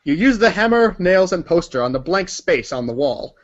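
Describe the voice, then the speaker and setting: A man with a fairly high voice narrates a scripted story in the second person. His delivery is theatrical and emphatic, like a read-along book, and the recording is slightly dull. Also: sing-song, normal speed.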